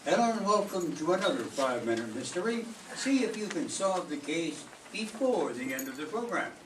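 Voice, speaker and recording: A man's voice: fairly high pitch, very animated, moderate pace. An older man reading as announcer and narrator of old-time radio plays. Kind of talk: scripted 1940s radio announcing. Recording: echoey distant mic, clear background noise.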